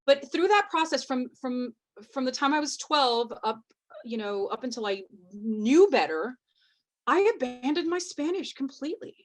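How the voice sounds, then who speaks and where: A woman with a slight Mexican American accent, a panelist on a video call, talking candidly and haltingly, with many restarts. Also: high-pitched, lively intonation. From Mexican heritage, US. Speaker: actress, panelist. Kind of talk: candid roundtable discussion.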